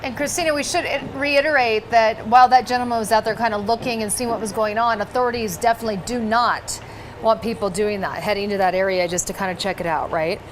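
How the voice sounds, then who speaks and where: A woman's voice: a little high, fairly even intonation, quick pace, slight background noise. A woman co-anchoring a live television newscast, recapping and handing over conversationally. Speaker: news anchor. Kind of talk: live breaking-news anchoring.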